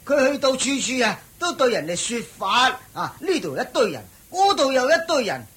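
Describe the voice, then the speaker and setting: An actor playing a chubby, pessimistic male traveller in a radio drama, whining and sighing in a very raspy voice. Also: very high voice, sing-song, moderate pace, a bit bright, heavily acted. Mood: scornful grumbling. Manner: whining, sighing, exaggerated complaining. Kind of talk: Cantonese radio drama acting.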